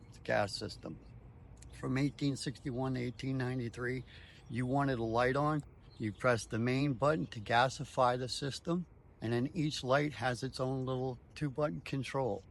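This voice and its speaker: A man's voice: mid-range voice, fairly even intonation, normal speed, vocal fry. Guy doing tour guide talk, explains it step by step, short punchy statements. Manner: emphatic step-by-step explaining in short declarative phrases.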